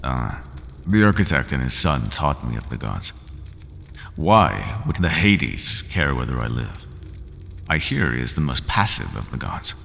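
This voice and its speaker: A resigned, half-human horned monster from an audio roleplay speaks in an extremely deep, gravelly voice. His lines are formal and unhurried, with no contractions, and his pitch swings widely. The voice rings out in a long hall reverb over faint ambient noise. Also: big pitch swings, a bit bright, full character voice. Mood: solemn, weary. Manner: slow, formal speech without contractions.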